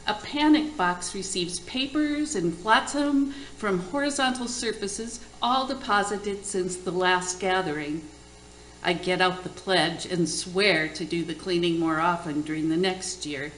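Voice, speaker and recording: A woman's voice: on the low side, a little slow, a bit bright. A woman reading her narrative poem aloud as a poet at a radio show. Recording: echoey room, faint noise.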